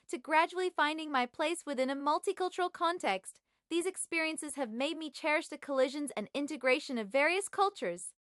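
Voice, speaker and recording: Young woman from china, native chinese speaker, high voice, measured scripted reading, dry close sound. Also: sharp tone, no room sound. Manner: measured, evenly read. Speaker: graduate student. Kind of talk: scripted presentation.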